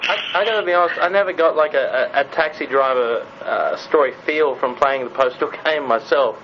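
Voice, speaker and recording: A man's voice: fairly high pitch, moderate pace. A man asking questions and commenting in a casual interview. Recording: noisy, slightly dull line.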